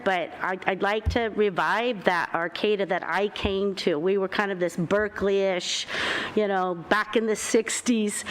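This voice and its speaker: A woman running for council speaks quickly and from the heart at a candidate forum. Her voice sits at a middle pitch, with a faint room ambience behind it. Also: a little hiss or hum, poor audio.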